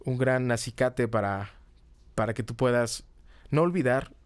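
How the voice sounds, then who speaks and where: A man's voice: medium pitch, a little slow, clean tone, no room sound. A middle-aged man with a Mexican accent, explaining things casually in an interview and often ending phrases with a checking tag. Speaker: podcast guest, comedy teacher and writer. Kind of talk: casual conversational interview answers.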